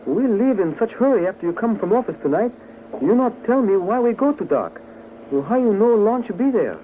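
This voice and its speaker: An actor playing a loyal, cautious valet who speaks broken English in a 1940s radio drama. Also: male voice, high voice, sing-song, normal speed. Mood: inquisitive. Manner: broken English, dropped articles and verbs. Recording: noisy, muffled 1940s radio recording.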